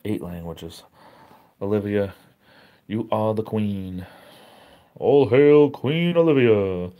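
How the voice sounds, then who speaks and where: A tired middle-aged man hosting a casual live stream, reading the chat aloud, relaxed and chatty and easily amazed. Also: lowish voice, takes it slowly, phone-quality sound.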